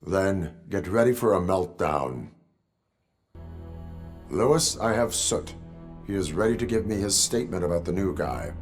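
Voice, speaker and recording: Brief: a deep, clipped, formal cyborg cop, music behind. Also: male voice, lively intonation, a little slow, long pauses. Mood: stern. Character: stern male cyborg police officer. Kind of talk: video game cutscene acting.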